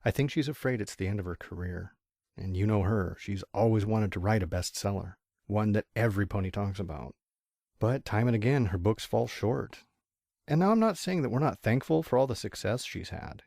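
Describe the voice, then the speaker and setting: A weary, fond pony dad played by a man in an audio drama. His voice sits on the low side and he talks in a calm, reassuring, confiding way. The sound is close and dry. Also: creaky, no room sound. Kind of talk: amateur audio drama acting.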